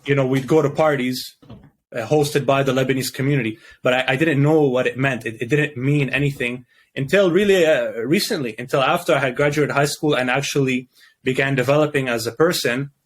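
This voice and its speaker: A young man from Canada talks in a relaxed interview. He speaks in a middle register, stopping and restarting often as he gathers his thoughts, with frequent fillers. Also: medium pitch, brisk. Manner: hesitant, many restarts and fillers. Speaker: guest. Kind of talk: casual interview chat.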